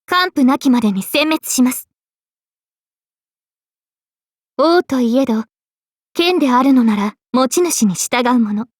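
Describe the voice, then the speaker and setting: Anime game mage girl, high youthful voice, polite n earnest, super dry studio sound. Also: animated, moderate pace, no room sound. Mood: solemn, regal. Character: young girl mage, tomboyish, modest, earnest, later a solemn king. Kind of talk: Japanese game character voice lines.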